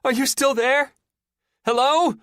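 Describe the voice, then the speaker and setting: A man's voice: extremely high pitch, slow, fairly bright tone, clean tone, no echo, studio quality, pitched above the performer's own voice, exaggerated voice. A man reading an audiobook voices a frightened woman trapped in an elevator, calling out desperately for help. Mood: panicked.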